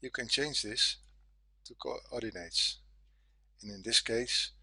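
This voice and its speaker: A man with a Dutch accent, a native Dutch speaker, giving a software tutorial in careful, simple phrases. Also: medium pitch, takes it slowly, vocal fry, slightly rough, no room sound. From Netherlands. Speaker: software developer, guest presenter.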